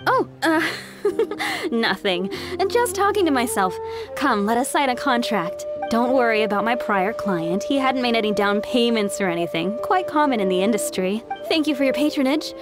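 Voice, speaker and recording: A courteous, businesslike ore trader for a game, high voice, a bit flustered. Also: female voice, big pitch swings, moderate pace, over music. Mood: polite. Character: polite female ore merchant, shrewd and businesslike. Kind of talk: video game voice acting.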